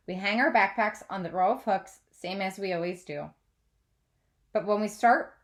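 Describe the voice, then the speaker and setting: A woman reading a children's novel aloud as a teacher, with expressive scripted narration. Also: mid-range voice.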